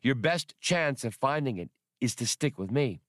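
A man voicing a self-assured, businesslike woman in an audiobook, brisk and chiding. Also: mid-range voice, expressive, a bit bright, dry recording, studio quality, pitched above the performer's own voice, natural voice. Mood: brisk, persuasive.

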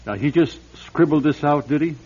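An actor playing a genial, tactful aging bishop in a radio drama, with a husky avuncular voice on a muffled recording. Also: male voice, on the high side, normal speed. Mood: curious. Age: older. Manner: avuncular, hesitant, animated.

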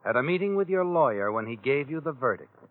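An actor playing a sardonic, all-knowing narrator of crime tales, taunting in second person in a 1940s radio drama. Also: male voice, mid-range voice, very animated, fast, close to an everyday voice. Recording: tinny vintage radio recording with music behind.

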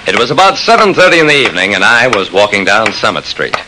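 A man's voice: on the low side, sing-song, fast. An actor playing a shrewd, persistent private investigator narrating his case in an old-time radio drama, with clipped, hard-boiled delivery. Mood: measured, matter-of-fact. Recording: noisy vintage radio recording.

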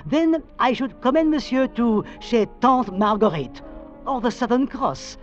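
An actor playing a polite, deferential French waiter with a French accent and an eager, formal manner in an old-time radio drama. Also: male voice, extremely high pitch, expressive, unhurried. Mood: obliging, discreet. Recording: muffled old radio recording, faint music.

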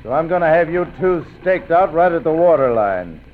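An actor playing a cold, ruthless bandit chieftain with a gruff, menacing drawl, in an old radio drama. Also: male voice, a little high, sing-song, moderate pace, slight background noise. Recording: thin, phone-like old radio.

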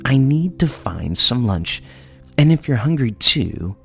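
A man voicing a kind, sniffly aardvark in a storybook read-aloud, speaking gently. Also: medium pitch, big pitch swings, slow, vocal fry, faint music behind, exaggerated voice. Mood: kindly. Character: sniffly kindly aardvark, helpful.

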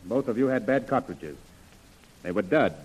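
An actor playing an earnest, troubled military major in a 1930s radio adventure serial. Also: male voice, fairly low pitch, lively intonation, normal speed, darkish tone, slightly rough, low-quality recording. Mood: insistent explaining. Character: troubled, earnest major, a grown man explaining himself. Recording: old radio recording.

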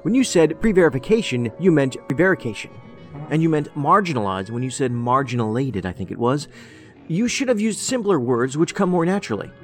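A man's voice: fairly high pitch, very animated, fairly quick, soft background music. A man playing a quirky, tactless federal agent in an audio drama, talking in a rambling, pedantic, matter-of-fact way. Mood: blunt, analytical.

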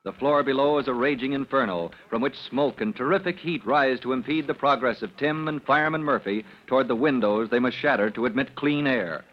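A man's voice: mid-range voice, a little fast, few pauses. A man announcing and narrating in a dramatic old-time radio style. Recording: noisy muffled old radio recording.

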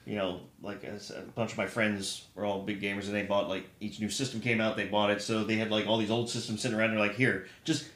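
A man's voice: lowish voice, flat delivery, fast, few pauses, fairly bright tone. A man in a casual podcast chat about video games and movies, talking in a rambling, conversational way. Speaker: podcast co-host. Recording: roomy echo with faint noise.

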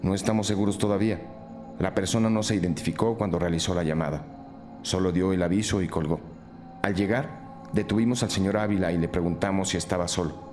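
A sober male detective with a very deep voice, played by an actor, lays out evidence methodically. It is scripted crime podcast drama, with music plainly underneath. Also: steady tone, brisk, darkish tone, close to an everyday voice. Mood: matter-of-fact. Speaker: detective reporting case facts.

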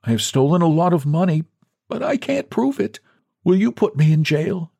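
Man doing a desperate ruined man, high and plaintive, swinging up and down, dry close sound. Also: big pitch swings, moderate pace, gravelly, warm tone, dry recording, heavily acted. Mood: timid. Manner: pleading, plaintive. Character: desperate, weary man who has lost everything.

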